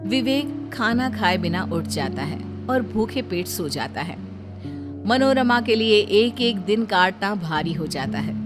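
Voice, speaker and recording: A woman narrator reading a scripted moral story. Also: mid-range voice.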